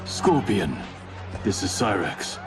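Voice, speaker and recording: Deep, stern ninja warrior. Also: male voice, big pitch swings, takes it slowly, full character voice. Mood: grave. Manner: terse, formal, grave. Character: stern, level-headed male ninja warrior. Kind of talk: video game cutscene acting. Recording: music behind.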